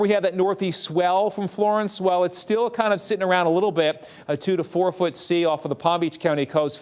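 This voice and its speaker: A man delivering a TV weather forecast in a brisk, upbeat forecaster style. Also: a little high. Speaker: TV meteorologist.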